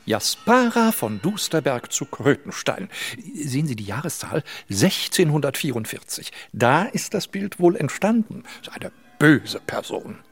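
A man's voice: a little high, sing-song, a little slow, hardly any pauses, breathy edge, exaggerated voice. A man playing an eager, self-important but bumbling husband in a children's radio play, fussy, pompous and prone to stammering.

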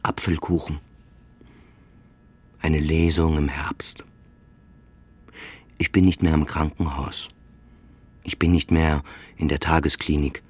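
A man's voice: extremely low pitch, level tone, slow, pauses often, husky. A man delivering scripted, introspective first-person literary narration as in a radio play, in short clipped phrases.

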